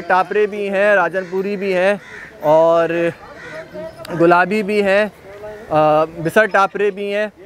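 A man hosting an on-site walkthrough of livestock, chatting casually and repeating praise often. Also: high voice. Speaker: livestock video host. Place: outdoor goat pen at a farm.

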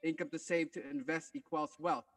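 A man on a group video call, chatting casually and rapping in a measured way. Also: on the high side, steady tone, fairly bright tone. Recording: distant video-call microphone.

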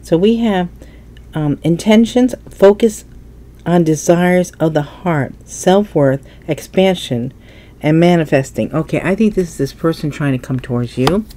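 A woman's voice: low-pitched, unhurried, dark tone. A woman with a stuffy nose giving a casual tarot reading, musing aloud as she turns cards at a table. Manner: musing, thinking aloud while turning cards. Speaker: tarot reader. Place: indoors at a table, hands only in frame.

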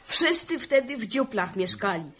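An eager country schoolchild with a high, bright voice. The delivery is pert and teasing, in the manner of an old radio drama. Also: female voice, very animated, moderate pace, slight background noise. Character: pert, eager school-age village child. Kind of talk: 1960s Polish radio serial acting.

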